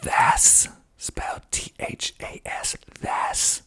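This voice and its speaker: A man whispering breathily in ASMR style into a close mic, reading aloud slowly and deliberately with dry asides. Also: pushed and bright. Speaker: filmmaker and ASMR video host. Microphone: close mic with pop filter.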